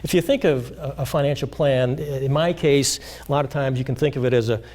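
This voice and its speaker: A man being interviewed as a financial planner, explaining in a measured way with frequent pauses and fillers. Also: medium pitch, darkish tone. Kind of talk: interview answers. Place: high school TV studio.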